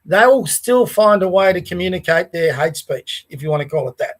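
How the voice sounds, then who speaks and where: A man with an Australian accent and a fairly high voice talks through his views in a casual podcast chat. He speaks earnestly, restarting phrases and checking in with the listener as he goes. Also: lively intonation, a little fast. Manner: earnest, restarts and asides like "right?". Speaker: podcast host. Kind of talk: casual political discussion.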